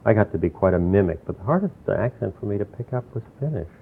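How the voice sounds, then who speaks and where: Older man, from New York, very deep voice, reflective rambling storyteller, tinny lo-fi recording. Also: lively intonation, fast talker, gravelly. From New York, USA. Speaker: interviewee. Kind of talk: oral history interview. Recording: tinny phone-like lo-fi recording with faint hiss.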